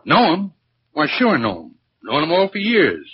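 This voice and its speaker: Folksy, gossipy American storekeeper, higher lively delivery, vintage radio drama with light hiss. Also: male voice, normal speed. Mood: chatty, gossipy. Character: chatty, folksy small-town filling station owner. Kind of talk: 1940s radio drama acting. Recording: muffled, noisy 1940s radio recording.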